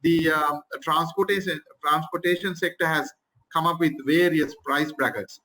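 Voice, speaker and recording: Man from Sri Lanka, measured manufacturing panelist, slightly raised pitch. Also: steady tone, bright, forceful tone, low-quality recording. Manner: measured, with fillers. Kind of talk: panel discussion answer.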